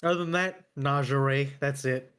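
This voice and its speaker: A man's voice: mid-range voice, normal speed, fairly bright tone, smooth. A man taking part as a player in a tabletop game, chatting casually and thinking aloud in an informal, musing way. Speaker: player creating a character.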